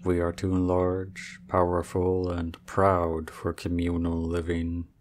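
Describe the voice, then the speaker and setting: A man's voice: low voice, monotone, slow pace, slight background noise. A man playing a proud, aloof male dragon in human form, speaking formally and with cool reserve in an ASMR roleplay.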